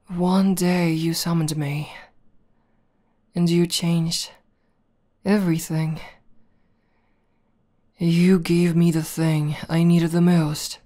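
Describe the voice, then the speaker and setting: A woman playing a tough tomboy demoness who is a devoted lover, speaking softly and haltingly in an intimate, breathy ASMR roleplay. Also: fairly low pitch. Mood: tender, reflective. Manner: soft, breathy, halting and earnest delivery, often dropping to whispers.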